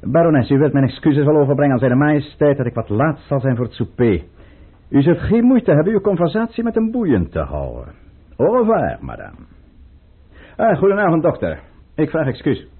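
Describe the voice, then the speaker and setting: An actor playing a suave, calculating count in a radio play, speaking in a courtly, ironic and polished way. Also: male voice, on the high side, sing-song, moderate pace, husky, exaggerated voice. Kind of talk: theatrical radio play acting. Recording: muffled, narrowband old radio play recording.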